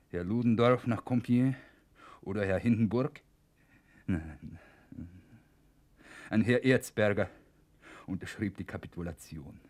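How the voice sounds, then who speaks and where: Actor as a low-voiced, bitter imprisoned professor. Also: male voice, a little mellow, natural voice. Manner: measured, halting, sardonic. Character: bitter, resigned imprisoned middle-aged professor, scapegoated official. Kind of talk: German radio play acting.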